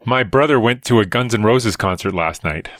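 A man's voice: on the low side, normal speed, fairly bright tone, no echo. A man, a scientist guest on a podcast, chatting casually with dry wit and rambling stories over a remote call. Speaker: guest, evolutionary biologist. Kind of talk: casual chat.

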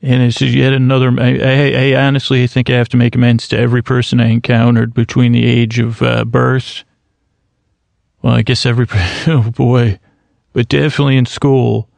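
A man hosting a podcast, musing in a self-mocking, deadpan way and wandering off on long tangents. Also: medium pitch, moderate pace, creaky, no echo. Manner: goes off on rambling tangents. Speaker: podcast host. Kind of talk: rambling solo podcast intro.

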